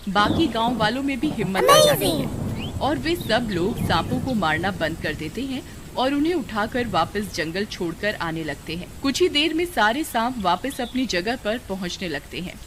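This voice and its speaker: A woman's voice: a little high, big pitch swings, normal speed. A woman reading scripted narration for a moral story. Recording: very noisy background.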